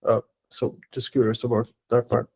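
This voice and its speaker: A man moderating an online seminar, asking questions hesitantly with many pauses. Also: mid-range voice, little pitch movement, gravelly, a little mellow, husky.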